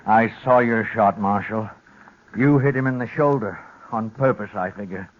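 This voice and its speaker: An actor playing a stoic, weary old prairie rancher, plain-spoken and solemn, in a 1950s radio western. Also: male voice, on the low side, normal speed, natural voice. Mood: solemn resolve. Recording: dull, noisy 1950s radio recording.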